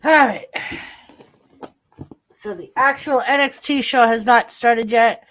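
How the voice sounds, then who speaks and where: A woman's voice: on the high side, slow, fairly bright tone. A woman with a stuffy nose hosting a live stream and greeting viewers in chat. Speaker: stream host.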